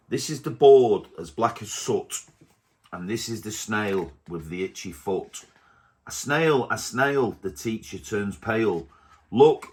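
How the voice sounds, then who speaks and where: A man reading a rhyming picture book aloud to his baby at home, slowly and gently. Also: fairly low pitch, slightly rough. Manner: slow, gentle storybook reading.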